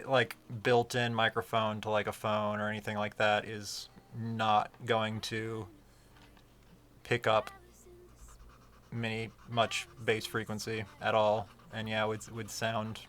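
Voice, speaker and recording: A man with a fairly low voice, chatting casually on a livestream and rambling with many fillers and restarts. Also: level tone, slow, a bit bright. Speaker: streamer talking about microphones.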